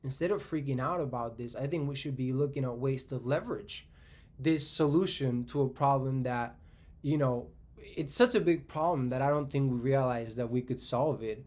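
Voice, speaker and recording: A man in his twenties from Spain talks through an idea in a casual solo monologue. He thinks out loud as he goes, restarts his sentences and often tags them with "right?". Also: mid-range voice, normal speed. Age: 20s. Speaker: tech commentator.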